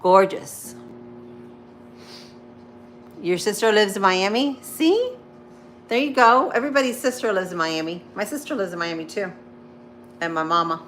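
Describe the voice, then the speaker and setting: A woman's voice: medium pitch, lively intonation, moderate pace, pauses often, a bit bright, slight background noise. A woman in her thirties with a Spanish-influenced accent, hosting a casual morning livestream and chatting with viewers while she cooks. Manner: chatty, rambling, answers viewer comments as she goes. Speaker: livestream host.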